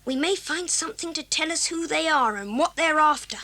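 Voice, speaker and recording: Woman as an adventurous schoolboy, high and bright, eager, earnest, practical, 1980s children's audio drama. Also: brisk.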